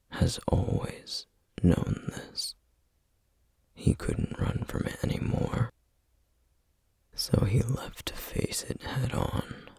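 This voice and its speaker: A man playing a stern, duty-bound flame demon in an ASMR roleplay, speaking in a breathy, husky half-whisper with grave, measured formality. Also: deep voice, darkish tone, dry recording, exaggerated voice. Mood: resigned, grave. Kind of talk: ASMR roleplay, scripted acting.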